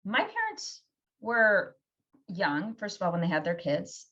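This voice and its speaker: A woman's voice: medium pitch, huge pitch swings, unhurried, sharp tone, muffled recording. A woman talking in a casual conversation, telling stories emphatically with fillers.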